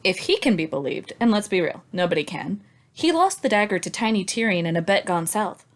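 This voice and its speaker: A woman's voice: mid-range voice, big pitch swings, fairly quick. A woman hosting a book discussion in a sardonic, casually sweary way. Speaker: podcast host.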